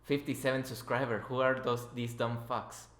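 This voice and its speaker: A man's voice: mid-range voice, level tone, normal speed, a bit bright. A young man hosting a podcast as casual chat, joking and swearing informally. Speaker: podcast host, interviewer. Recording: echoey room.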